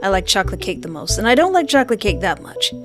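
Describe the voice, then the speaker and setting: A woman plays a story game and reads it aloud. Her voice sits at a middle pitch and she narrates expressively, dropping in casual reactions. Music is clearly audible behind her. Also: very animated, fast. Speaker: game player reading and reacting.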